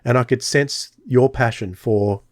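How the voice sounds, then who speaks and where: A man's voice: medium pitch, measured pace, dry recording, clean studio sound. A man hosting a podcast, chatting casually and telling stories with many fillers. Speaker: podcast host.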